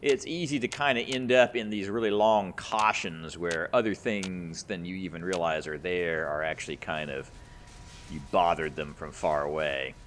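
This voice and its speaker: A man's voice: deep voice, fairly even intonation, moderate pace, fairly bright tone, a little hiss or hum. A man giving casual gameplay commentary as a channel host, rambling and thinking aloud.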